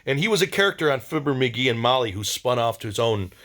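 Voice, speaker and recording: A man's voice: mid-range voice, lively intonation, fast talker, fairly bright tone. A man giving a casual, rambling diary-style monologue, enthusiastic and informal, with some slang. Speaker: video diary host.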